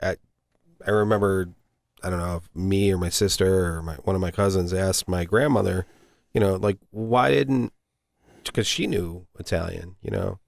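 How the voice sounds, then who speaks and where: Brief: podcast host, 30s man, low and gravelly, halting, dry close mic. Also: dry recording. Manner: halting, hesitant, many restarts. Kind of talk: casual chat. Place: small studio room, indoors. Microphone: studio mic close up.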